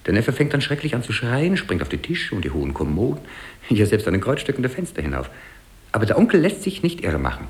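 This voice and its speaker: A frail old French dancing master in a vintage radio play. His low voice swings widely as he tells his grievances, halting and chuckling in excitable bursts. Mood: amused complaint. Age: older. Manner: halting, animated, chuckling storyteller. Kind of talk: 1950s German radio play acting.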